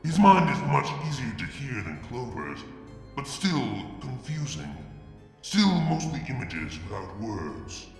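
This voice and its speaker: A man reading a fanfic aloud in a soft, halting first-person narration. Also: mid-range voice, sing-song, echoey room, in a large hall, faint music behind. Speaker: fanfic reader. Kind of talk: dramatic story reading.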